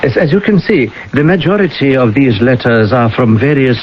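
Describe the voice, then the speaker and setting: An actor playing a pompous, self-serious traveling professor with formal diction in a radio drama. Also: male voice, mid-range voice, lively intonation, normal speed, natural voice. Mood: smooth, solemn. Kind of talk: 1980s radio drama acting. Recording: dull, hissy old radio broadcast.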